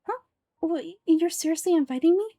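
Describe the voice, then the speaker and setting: A woman's voice: extremely high pitch, moderate pace, mellow, no echo, clean studio sound, voice pushed up. A woman voicing a sweet, eager, girlish young dancer in a game script, earnest and breezy. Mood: surprised, delighted.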